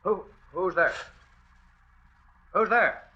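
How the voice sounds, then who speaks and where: A man's voice: high-pitched, extremely slow, breathy edge, exaggerated voice. An actor playing a wary, coolly cunning husband and father in a 1940s radio drama. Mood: startled. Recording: muffled old radio recording.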